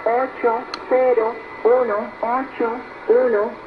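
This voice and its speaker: A woman reading out digits one by one as a numbers station announcer, flat, mechanical and detached, on a shortwave radio recording. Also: fairly high pitch, slow pace, phone-quality sound, background noise.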